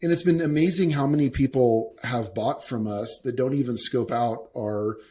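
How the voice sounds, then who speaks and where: A man's voice: medium pitch, normal speed, dark tone. A man hosting a podcast, speaking earnestly in casual conversation and often repeating words as he forms his thoughts. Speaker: podcast host.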